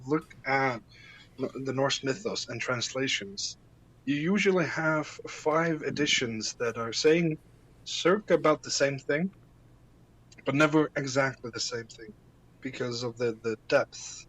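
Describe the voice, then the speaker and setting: A man's voice: mid-range voice, fairly bright tone, low-quality recording. An Icelandic man, a native Icelandic speaker, talking as a podcast guest in a casual interview, hesitant, with many fillers and restarts.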